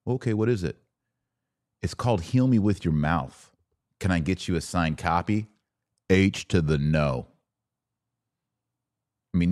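A man's voice: lowish voice, darkish tone, dry recording, studio quality. A middle-aged American man hosting a podcast, giving opinionated religious commentary in an informal, slangy, mocking and incredulous way.

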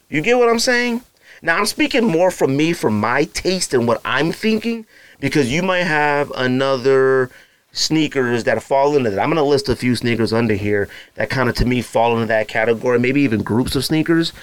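A man in his thirties with a naturally deep voice, hosting a podcast in loose, slangy casual chat full of fillers and asides to his listeners. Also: no room sound. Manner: feels hot and uncomfortable while recording. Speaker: podcast host. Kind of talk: casual solo podcast rambling.

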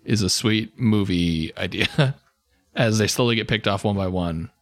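A young American man co-hosting a podcast with casual comedic banter, slangy, profane and animated in delivery. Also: lowish voice, measured pace, dry recording. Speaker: podcast co-host.

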